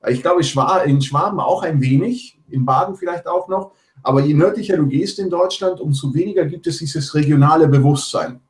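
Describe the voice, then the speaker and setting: A man from Latin America talking casually over a video call, explaining his points in a measured way and listing examples. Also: fairly high pitch. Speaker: panel guest. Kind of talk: casual political chat. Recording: echoey room.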